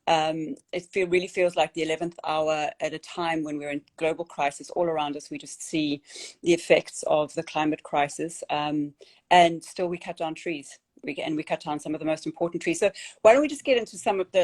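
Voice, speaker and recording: A young woman with a South African accent hosting an interview, talking earnestly and haltingly with many fillers and restarts. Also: low voice, steady tone, darkish tone. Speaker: host. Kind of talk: casual interview chat.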